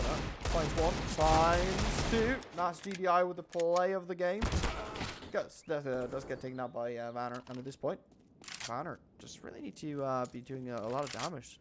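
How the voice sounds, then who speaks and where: Excitable man casting a shooter game. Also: noisy background. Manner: rises in pitch and volume during fights, calm between rounds. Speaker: esports caster. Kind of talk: live play-by-play commentary. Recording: game gunfire audible behind.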